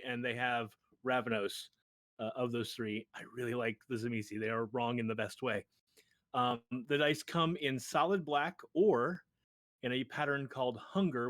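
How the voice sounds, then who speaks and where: A man with a mid-pitched voice delivers a loose, ad-libbed sponsor plug. He is chatty and jokey, keen on what he describes, recorded dry and close. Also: a bit bright, dry recording. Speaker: show regular reading sponsor announcements. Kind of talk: casual ad-libbed sponsor read.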